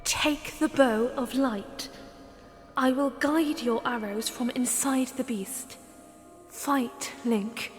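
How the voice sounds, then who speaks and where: A woman playing a gentle, solemn princess spirit who guides a hero in an audio drama. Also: high-pitched. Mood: solemn, encouraging. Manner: slow, solemn, encouraging. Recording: long echoing reverb, music behind.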